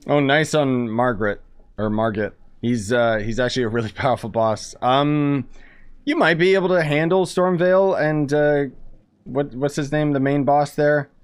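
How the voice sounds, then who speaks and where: Casual male game streamer, medium pitch. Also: normal speed, smooth. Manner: conversational, opinionated. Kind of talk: casual live stream commentary.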